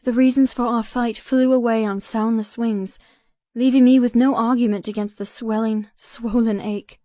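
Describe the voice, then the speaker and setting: A woman reading first-person romance fiction as an audiobook narrator, with intense, expressive delivery. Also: a little high, normal speed, dry recording, highs cut off.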